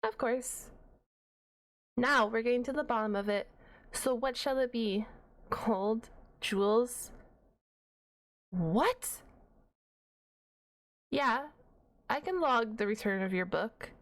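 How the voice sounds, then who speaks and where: A woman acting out a nerdy young half-dragon librarian for an ASMR roleplay. Her voice is fairly high and she takes her time, stumbling and correcting herself. She comes across as shy and easily flustered, with a playful, huffy indignation. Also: slow pace, long pauses. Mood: wary, sarcastic. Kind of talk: ASMR roleplay, one-sided dialogue to the listener.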